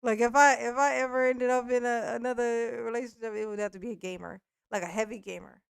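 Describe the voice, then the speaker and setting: Gravelly-voiced blunt woman in her forties. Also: a little high, steady tone, quick pace, dry recording, clean studio sound. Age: 40s. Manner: blunt, profane, laughs often, many restarts. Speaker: streamer. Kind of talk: casual live-stream chat.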